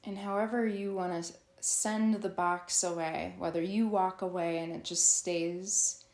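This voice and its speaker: A woman leading a guided yoga nidra meditation as a teacher, soothing and instructional, with long pauses. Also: lowish voice, fairly even intonation, a little mellow, reverberant.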